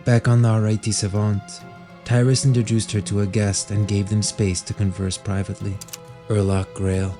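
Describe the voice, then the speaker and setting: A man's voice: fairly low pitch, little pitch movement, moderate pace, warm tone, soft background music. A man giving scripted, dramatic narration for a fantasy audio drama.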